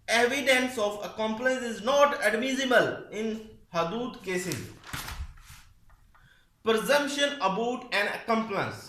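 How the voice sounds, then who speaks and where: A man with a Pakistani accent giving a law lecture, explaining and reading out passages in a deliberate, repetitive way. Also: sits high, pushed and bright. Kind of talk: lecture mixing read-out English passages with explanation. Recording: roomy, echoing voice recording.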